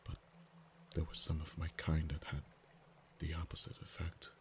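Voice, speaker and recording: A man voicing an ancient, proud, possessive male dragon in an ASMR roleplay, speaking softly and slowly, sometimes in whispers. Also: completely flat delivery, a little hiss or hum. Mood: musing. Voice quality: very deep, soft-spoken. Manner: slow, measured, drops to whispers.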